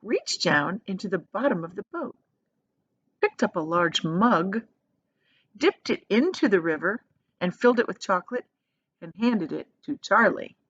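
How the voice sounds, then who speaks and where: A woman's voice: mid-range voice, lively intonation, measured pace, pauses often, a bit bright, husky, no echo, highs cut off. A woman reading a children's story aloud expressively, with emphatic delivery that lingers on descriptive words. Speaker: storybook reader.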